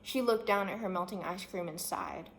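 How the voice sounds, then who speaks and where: A woman's voice: medium pitch, normal speed. A woman reading a children's story aloud as scripted narration. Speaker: story reader. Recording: faint background noise.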